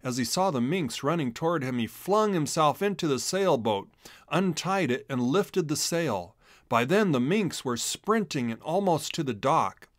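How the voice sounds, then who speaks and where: Animated male children's storybook narrator. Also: on the high side, a little slow, no room sound, studio quality. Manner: animated, dramatic delivery. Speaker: storyteller reading a children's book. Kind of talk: expressive scripted narration for a read-along storybook.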